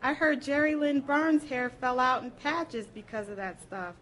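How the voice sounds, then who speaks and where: A woman giving a dramatic first-person literary reading aloud, with an expressive, theatrical delivery. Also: high voice, measured pace. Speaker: reader at a library program.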